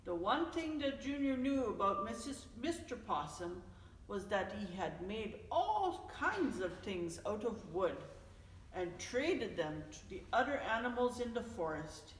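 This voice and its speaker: A woman reads a children's story in a big reverberant space, a little away from the mic. Her delivery is unhurried and expressive, with a very animated rise and fall as she tells it to young listeners. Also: mid-range voice, slight background noise. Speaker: storyteller, host of a story time. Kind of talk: children's picture book read-aloud. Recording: echoey, reverberant room, distant mic.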